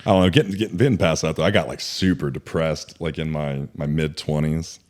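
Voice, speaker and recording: A man's voice: a bass voice, fairly even intonation, fairly quick. A man in his thirties, a bodybuilder guest on a podcast, telling his story candidly and rambling with many fillers and restarts.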